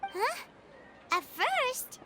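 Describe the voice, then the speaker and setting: A woman voicing a tiny, excitable, childlike fairy companion in a video game, with a squeaky voice. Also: very slow, faint music behind, full character voice. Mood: puzzled.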